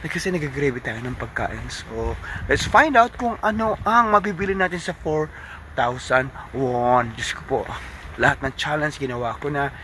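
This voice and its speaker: A man's voice: mid-range voice, moderate pace. A middle-aged Filipino man filming himself on a phone at arm's length, chatting cheerfully in a mix of Tagalog and English while walking a city street at night. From Philippines. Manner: animated, switches between Tagalog and English, loud cheery greetings. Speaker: vlogger, overseas worker. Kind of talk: casual walking vlog. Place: city street at night, then a convenience store. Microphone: phone held at arm's length. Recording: loud street traffic noise.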